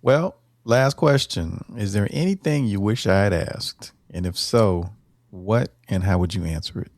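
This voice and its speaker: A man hosting an interview, asking questions in a relaxed, affirming way. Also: on the low side, unhurried, no echo. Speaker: interviewer, host.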